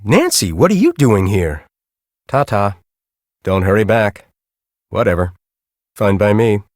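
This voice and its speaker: A man's voice: on the low side, sing-song, a little slow, darkish tone, no room sound, clean studio sound. An actor playing a bitter, arrogant middle-aged male scientist in a video game, with a sardonic, condescending, theatrical way of talking. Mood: dismissive. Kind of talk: video game character acting.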